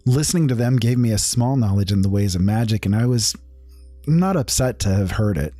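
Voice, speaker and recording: A man narrating a first-person fantasy tale as a storyteller, with measured, formal, old-fashioned phrasing. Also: lowish voice, animated, dark tone, no echo, faint music behind.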